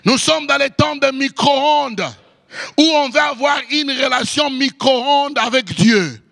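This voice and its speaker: A pastor whose first language is English preaches in French in a very high, bright voice with a gravelly edge. He moves slowly and deliberately, rising into shouts and musical, chanting phrases as he exhorts his congregation. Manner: impassioned preaching, swings between shouting and sing-song cadences. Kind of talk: sermon.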